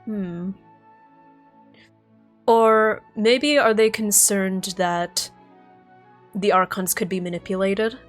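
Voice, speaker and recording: A young woman streamer reacting live to a game, thinking aloud, excitable, swearing and laughing. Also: mid-range voice, takes it slowly, pauses often, faint music behind.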